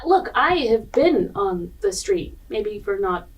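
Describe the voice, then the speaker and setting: A woman's voice: mid-range voice, sing-song, slow pace, husky, recorded from a distance, full character voice, rough recording. A woman voicing a streetwise female dog in a family film. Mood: frank, insistent.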